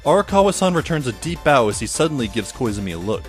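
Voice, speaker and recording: Man as a wry, animated schoolboy narrator. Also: mid-range voice, fast talker, soft background music, lightly acted. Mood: wry, musing. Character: wry high school boy narrating his story. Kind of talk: first-person scripted narration, audio drama.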